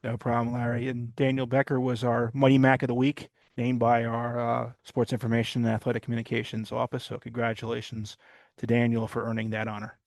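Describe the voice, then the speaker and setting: A man hosting a college sports podcast, recapping games in a rambling way with many pauses. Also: mid-range voice, monotone, a little mellow, no echo. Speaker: podcast host. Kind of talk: casual sports recap chat.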